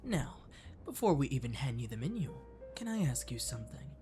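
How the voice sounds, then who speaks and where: A man acts out a friendly catboy cafe waiter for an ASMR roleplay. He is courteous and flirty, coaxing the listener gently at a medium male pitch, with clear music behind him. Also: sing-song, a little fast, close to an everyday voice. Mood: caring, playful. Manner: attentive, gently coaxing, lapsing into whispers. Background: clear background music.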